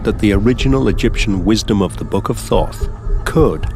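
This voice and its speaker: A man narrates a documentary from a script. His voice sits fairly low and tells the story in measured phrases, with loud music underneath.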